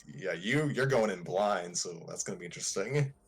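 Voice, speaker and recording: A man's voice: sits low, expressive, moderate pace. A man co-hosting a livestream in casual, conversational chat. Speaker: co-host of a story-reading livestream.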